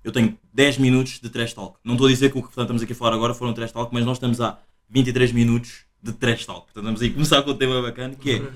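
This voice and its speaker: Young portuguese guy hosting a podcast, talks fast, lots of fillers. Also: mid-range voice, flat delivery. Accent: European Portuguese. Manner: rapid, uses filler words a lot. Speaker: podcast host. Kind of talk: casual chat.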